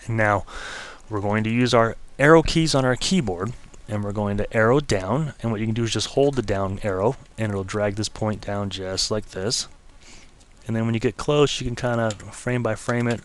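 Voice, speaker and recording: Lowish-voiced guy doing a chill screen-recording tutorial, voice a bit worn. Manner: relaxed, step-by-step explaining with casual fillers. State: slightly losing his voice, clearing throat. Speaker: software tutorial instructor.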